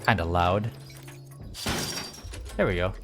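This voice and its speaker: A man's voice: deep voice, slow pace. A man livestreaming a video game, chatting casually and easygoing with viewers as he plays. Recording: music playing behind the voice. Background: game music.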